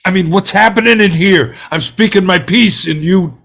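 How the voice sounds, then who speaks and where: A man performs an angry, prejudiced juror in a stage drama read-through. He rants in blunt colloquial speech, hectoring and insistent, with a desperate edge. The voice sits slightly high for a man. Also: normal speed, a bit bright. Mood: agitated, insistent. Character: angry bigoted male juror ranting, growing desperate.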